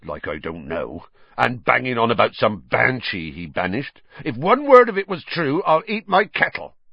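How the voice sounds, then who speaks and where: A man voicing a huge, gruff but kindly male gamekeeper who talks in a blunt growl. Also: medium pitch, very animated, normal speed, dry recording, highs cut off, heavily acted.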